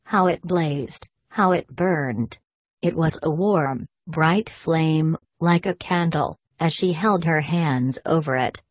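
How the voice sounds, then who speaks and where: A woman reading a scripted children's fairy tale as a storyteller, measured and expressive. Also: deep voice, no room sound.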